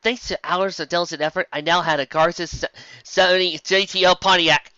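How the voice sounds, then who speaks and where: Casting: theatrical, mocking fanfic narrator, mid-pitched with a sharp forceful edge, on a slightly muffled close-sounding mic. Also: fairly even intonation, fairly quick, no room sound. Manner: theatrical, exclamatory, sarcastic asides. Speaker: host of a fanfic reading show. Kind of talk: comedic dramatic reading with mocking commentary.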